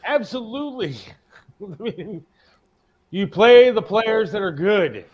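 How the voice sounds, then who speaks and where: A man's voice: high voice, expressive, slow, highs cut off. A middle-aged man hosting a talk show, chatting and bantering informally with a salty tongue. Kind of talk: casual chat and banter.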